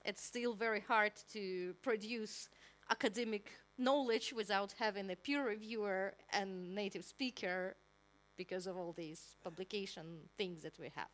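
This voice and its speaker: A woman's voice: medium pitch, measured pace, fairly bright tone, rough recording. A young woman from Ukraine with a Ukrainian accent, answering questions at an academic talk, hesitant with many fillers. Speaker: guest speaker.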